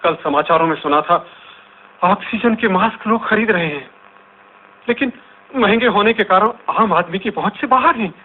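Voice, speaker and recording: Actor playing a high-pitched, panicky ordinary man. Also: lightly acted. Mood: anxious dread. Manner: halting, repeating words, gasping exclamations. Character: panicked ordinary man gasping for air, waking from nightmare. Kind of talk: radio drama acting. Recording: tinny, band-limited radio drama recording with noise.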